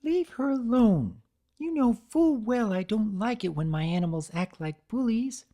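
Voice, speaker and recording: A woman voicing a gentle cartoon pegasus, protective and assertive, with an earnest, soft but firm manner. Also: a little high, animated, a little slow, pitched above the performer's own voice. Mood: scolding.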